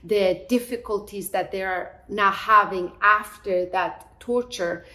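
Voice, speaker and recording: Bright-voiced middle-aged woman therapist. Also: mid-range voice. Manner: measured, explanatory, gestures while talking. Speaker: psychotherapist guest. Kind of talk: earnest interview answers. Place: bright living room indoors. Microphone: lapel mic.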